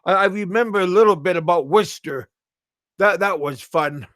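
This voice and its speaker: A middle-aged American man chatting casually on a live stream, halting and repeating his words. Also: sits high, a bit bright, no echo, studio quality. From USA. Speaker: livestream host.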